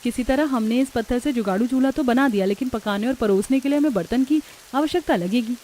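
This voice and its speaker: Woman acting a high, soft-toned young village wife. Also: fast, slight background noise, natural voice. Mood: practical. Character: resourceful, earnest young village daughter-in-law. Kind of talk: acted dialogue in a Hindi cartoon story.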